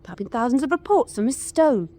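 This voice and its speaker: A woman's voice: high-pitched, big pitch swings, brisk, warm tone, dry recording. An actress playing a plain-spoken English woman in her twenties in a wartime radio drama, talking in an artless, colloquial way. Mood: chatty, grumbling. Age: 20s. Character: chatty, plain-spoken young British survivor, twenty-three.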